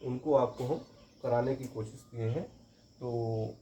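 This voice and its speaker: A man's voice: mid-range voice, steady tone, takes it slowly, dark tone, slight background noise. A man teaching a current affairs lesson for exam preparation, repeating each question and answer for emphasis. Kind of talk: exam-prep quiz lesson. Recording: roomy, dull lo-fi recording.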